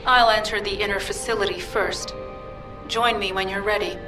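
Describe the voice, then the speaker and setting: A woman's voice: mid-range voice, steady tone, moderate pace, natural voice. A woman playing a practical, terse soldier on a mission in a video game, businesslike in manner. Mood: decisive. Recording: echoey, distant, music behind.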